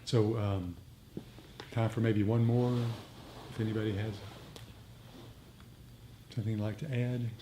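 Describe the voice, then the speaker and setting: A man speaking as a meditation teacher in a reflective talk, with unhurried, repetitive phrasing, recorded live at a group meeting. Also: fairly low pitch, flat delivery. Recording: noisy live room recording.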